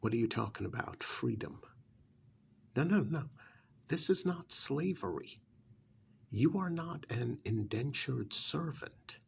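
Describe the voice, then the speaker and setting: Man playing a gravelly, unhurried smug vampire's familiar. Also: medium pitch, slight background noise. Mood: exasperated, persuasive. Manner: conversational, cajoling, with rhetorical questions and pauses. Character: smug pampered vampire's familiar working as recruiter, persuasive, dismissive. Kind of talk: ASMR role-play monologue.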